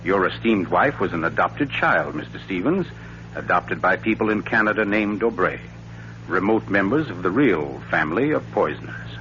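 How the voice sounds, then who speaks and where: A suave gentleman sleuth in a vintage radio thriller, speaks with pompous, knowing deliberation. His low voice swoops expressively through each wry point, on a dull, humming old recording. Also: very animated, a little hiss or hum. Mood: grave, knowing. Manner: pompous, deliberate, teasing delivery. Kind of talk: 1940s radio thriller acting.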